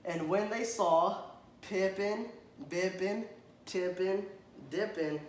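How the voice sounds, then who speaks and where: A man reading a children's picture book aloud to a child, stopping to point at the pictures and ask questions, and often clearing his throat. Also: sits high, takes it slowly. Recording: echoey room, faint background noise.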